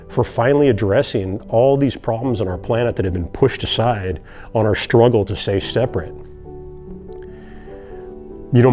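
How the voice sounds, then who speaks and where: A man speaks in an earnest, impassioned monologue, stacking his points through repeated phrases and slowing down to lean on key words. His voice is mellow and warm, and soft music plays beneath him. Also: mid-range voice. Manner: impassioned, repetitive, slow emphatic pauses. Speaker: online video essayist.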